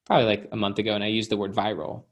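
A man's voice: on the low side, monotone, very fast. A man appearing as an author guest, explaining ideas in casual conversation, often checking in with "right?". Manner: explanatory, uses fillers like "you know" and "right?".

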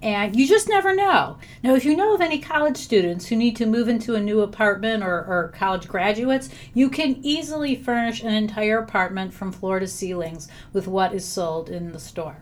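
A slightly hoarse woman hosts a podcast with upbeat enthusiasm. She moves from a scripted introduction to friendly interview questions, speaking at a mid pitch. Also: moderate pace, few pauses. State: hoarse from laryngitis. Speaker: podcast host.

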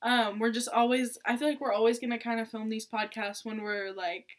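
A woman's voice: on the high side, fairly even intonation, fairly quick. A seventeen-year-old girl born in Iraq, co-hosting a casual podcast and chatting with lots of fillers and giggles. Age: teens. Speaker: podcast co-host. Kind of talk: casual chat.

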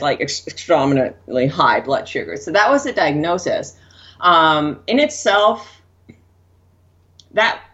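Woman from Denmark, a bit low, relaxed and candid, dull lo-fi sound. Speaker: guest. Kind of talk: casual interview storytelling.

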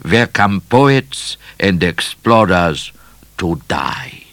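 A man's voice: deep voice, lively intonation, extremely slow, a bit bright, no room sound, full character voice. Storybook explorer, played by a male reader: German accent, miserable, aloof, solemn, dry close sound. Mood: morbid, solemn. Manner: solemn delivery with inverted, foreign word order. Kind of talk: storybook character voice.

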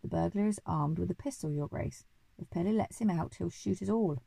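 A woman's voice: on the low side, brisk, creaky, warm tone, breathy edge, no room sound, full character voice, low-quality recording. A woman voicing a loyal, urgent female giraffe in a children's story, speaking earnestly. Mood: urgent warning.

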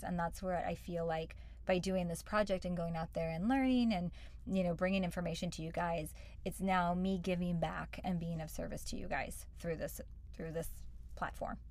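A woman co-hosts a relaxed podcast chat in a fairly low voice. She rambles from thought to thought and leans on "like" and "you know" as she goes. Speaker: podcast co-host. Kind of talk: casual chat.

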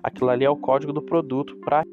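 A man's voice: fairly high pitch, steady tone, rapid-fire, narrow, tinny audio. A man with a Brazilian Portuguese accent and a stuffy nose from a cold, chatting casually and rambling to his viewers about reselling cosmetics. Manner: chatty, rambling, with asides to the audience. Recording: music playing in the background.

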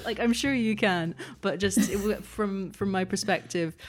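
A woman's voice: medium pitch, normal speed. A woman speaking as a podcast guest in casual interview chat, tentative, modest and thoughtful. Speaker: podcast guest, audio fiction producer.

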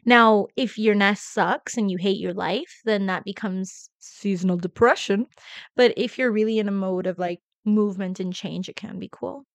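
A young woman co-hosting a casual podcast. She talks in a loud, lively, expressive way. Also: medium pitch, normal speed, no echo. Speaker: podcast co-host. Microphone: podcast mic.

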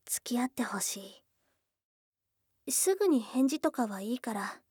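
A woman voicing a shy, tomboyish young goblin girl in an intimate ASMR voice drama, soft and breathy, often dropping to a whisper, close to the microphone. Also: a little high, big pitch swings, a little slow, dry recording, heavily acted. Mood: tender, earnest. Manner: blunt tomboyish speech, tender and hesitant. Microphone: close, dry studio microphone.

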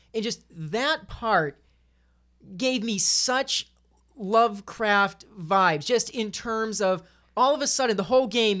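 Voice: medium pitch, a bit bright, clean tone. A speaker chatting casually about video games on a podcast in a rambling, stammering way, often repeating phrases.

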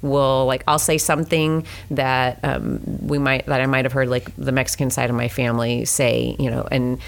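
A woman's voice: very deep voice, flat delivery, moderate pace, creaky. A woman, a romance author, chatting casually in an interview with many fillers and restarts.

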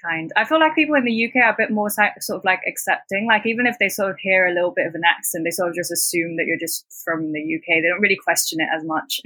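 A young woman from Hong Kong whose first language is Cantonese, talking as a podcast guest. Her voice is bright with a slightly husky edge, and it sounds dry and close. She chats loosely, leaning on "like" as a filler and laughing softly between points. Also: on the low side, quick pace, no echo, poor audio. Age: 20s. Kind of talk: casual conversational interview.

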